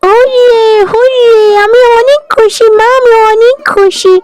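A little cartoon village girl with a tiny, very high voice. She is childish, excitable and whiny, over a bed of music. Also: measured pace. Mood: gleeful. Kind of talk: Bengali cartoon voice acting.

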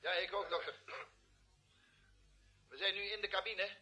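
A man's voice: sits high, steady tone, moderate pace, bright, forceful tone, husky, full character voice. An actor playing a space crewman calling in over a radio link in a Dutch radio drama. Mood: urgent.

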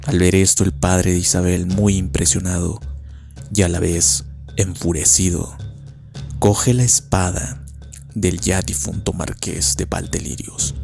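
A male narrator tells a legend in a dramatic scripted style. His voice is deep and gravelly. Faint music accompanies him. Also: darkish tone.

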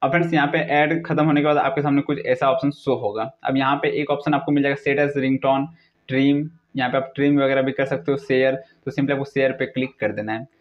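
A man's voice: medium pitch, level tone, a little fast. Young man, dull lo-fi tutorial. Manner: repetitive, instructional phrasing with English words mixed in. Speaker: tutorial video host. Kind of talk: casual how-to tutorial narration. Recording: dull lo-fi recording.

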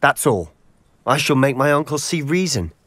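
An actor playing a defiant, proud teenage wizard in a game cutscene, insistent and emphatic. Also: male voice, medium pitch, lively intonation, moderate pace, a bit bright. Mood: defensive. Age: teens. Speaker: game character. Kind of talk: video game cutscene acting. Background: music.

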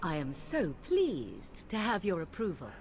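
A woman's voice: on the low side, wildly swooping pitch, measured pace, a little mellow, full character voice. A woman voicing a proud, aloof young witch in a fantasy game, speaking formally with arch sarcasm. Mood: bitterly sarcastic. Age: young adult. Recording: game audio over noisy outdoor ambience.

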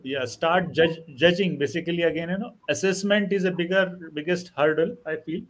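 High-pitched man in his fifties. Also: fairly quick, poor audio. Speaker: panelist. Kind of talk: reflective panel discussion. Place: wood-furnished room, on a video call.

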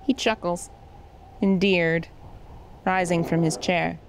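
A woman's voice: on the low side, steady tone, slow. A woman reading scripted narration and stage directions for an audio drama.

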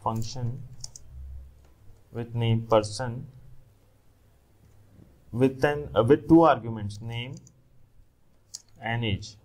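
A man's voice: medium pitch, very slow, pauses often. A young man narrating a screen-recorded coding tutorial, explaining each step plainly and correcting himself now and then. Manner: steady step-by-step explaining with small self-corrections. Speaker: programming tutor.

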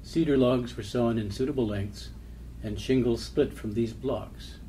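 A man's voice: medium pitch, measured pace, dark tone, a little hiss or hum, low-quality recording. A man giving a scripted reading of documentary poetry and old records.

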